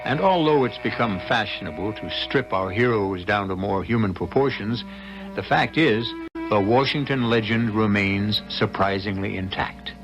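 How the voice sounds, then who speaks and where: A man as a grave, wry radio mystery host, narrating in a deliberate, portentous, dramatic way. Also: on the low side, lo-fi sound, natural voice. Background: music.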